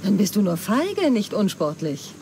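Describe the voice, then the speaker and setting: A woman's voice: mid-range voice, big pitch swings, measured pace, dark tone. An actress playing a self-assured, dryly teasing female mercenary in a dramatic German game dub, speaking with confidence. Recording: clear ambient noise.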